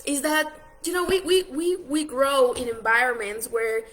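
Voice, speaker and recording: Ecuadorian woman, coaching a business class, highish voice, earnest, lots of "you know" restarts. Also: steady tone, moderate pace, fairly bright tone. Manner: earnest, many restarts and fillers. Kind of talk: online masterclass talk.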